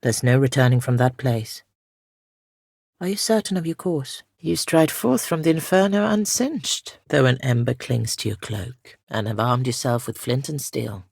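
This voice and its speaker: A woman playing a solemn, ancient female spirit guardian in a fantasy game, speaking softly and formally with measured gravity. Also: a bass voice, expressive, no room sound, studio quality, exaggerated voice. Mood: grave, foreboding. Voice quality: soft, gravelly. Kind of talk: scripted fantasy game dialogue.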